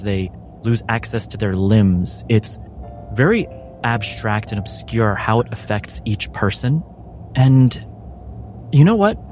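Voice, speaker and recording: A man with a lowish voice leads a tabletop game as its game master. He speaks very slowly and deliberately, pausing for drama, over soft background music. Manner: measured, deliberate phrasing with dramatic pauses.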